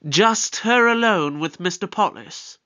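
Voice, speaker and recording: Brisk, authoritative space detective in an audio drama. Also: mid-range voice, expressive, sharp tone, dull audio. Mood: brisk, probing.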